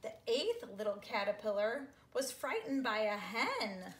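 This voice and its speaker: Woman reading to children, roomy sound. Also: fairly high pitch. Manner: expressive, drawn-out phrasing, asking young listeners questions. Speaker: read-aloud storyteller for children. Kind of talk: children's picture book read-aloud. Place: small living room, seated on a couch. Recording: roomy home recording with background noise.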